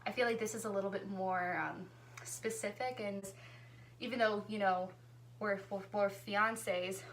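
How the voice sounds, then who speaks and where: A chatty woman hosting a relaxed couple vlog, her pitch sitting in an ordinary middle range. She talks in an easygoing, conversational way. A faint hum sits under her voice. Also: rough recording. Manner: chatty, easygoing, laughs often. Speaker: vlogger hosting a couple tag video with her fiancé. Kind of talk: casual chat.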